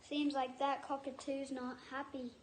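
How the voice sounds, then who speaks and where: Woman reading a storybook out loud, really high voice, slow and halting, bit of echo. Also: little pitch movement. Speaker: picture book reader. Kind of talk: read-aloud storytelling with personal asides. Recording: echoey room with faint noise.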